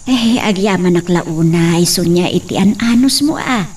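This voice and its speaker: A woman's voice: fairly low pitch, normal speed, darkish tone, lightly acted. A middle-aged woman playing a fond, fussing mother who laughs often. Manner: fussing, affectionate, laughs a lot. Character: doting village mother eager to see her son married. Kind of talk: amateur drama acting. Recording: distant mic with faint insect noise.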